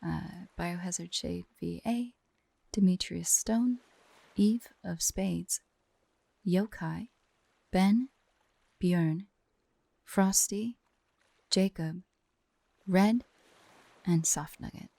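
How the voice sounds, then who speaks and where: A woman's voice: on the low side, creaky, warm tone, dry recording. A woman, an ASMR creator, casually thanking her viewers and supporters in an outro.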